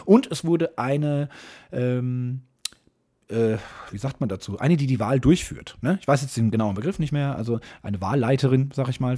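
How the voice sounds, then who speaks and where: A man's voice: medium pitch, mellow, husky. A man, middle-aged, hosting a podcast as a casual, wry monologue full of grumbling asides and tag questions to his listeners. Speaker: podcast host.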